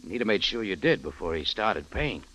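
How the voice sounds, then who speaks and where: Hard-boiled radio detective, swinging delivery. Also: male voice, lowish voice, sing-song, brisk, sharp tone, full character voice. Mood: accusing. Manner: hard-boiled, accusing, clipped. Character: shrewd, tough male private-eye adventurer. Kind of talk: 1940s radio detective drama acting. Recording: muffled old radio broadcast recording.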